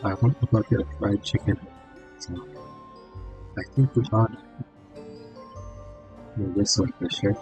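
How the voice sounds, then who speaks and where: A man's voice: lowish voice, measured pace, pauses often. A man giving casual, laid-back game commentary, thinking aloud as he plays. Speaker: gamer, video creator. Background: loud game music.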